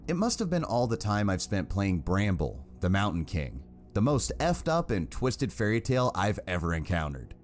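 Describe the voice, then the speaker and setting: A man reading a scripted video game review as narrator, in a measured, articulate way with wry asides. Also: fairly low pitch, very animated, a little hiss or hum.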